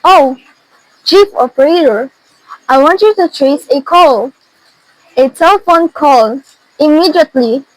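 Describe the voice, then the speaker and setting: Teenage girl acting an imperious, high-pitched anxious married woman. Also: expressive, unhurried, pauses often, poor audio. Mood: urgent. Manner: insistent, indignant, haughty. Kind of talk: amateur student radio drama acting.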